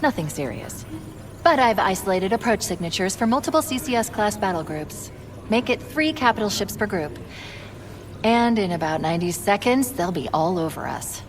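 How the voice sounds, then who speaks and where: A woman voicing a calm, witty female shipboard AI in a game cutscene, speaking in a cool, dry, precise way. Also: medium pitch, moderate pace, slight background noise, natural voice. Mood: calm briefing. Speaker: ship's AI. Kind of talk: video game cutscene acting. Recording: cinematic score underneath. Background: orchestral music.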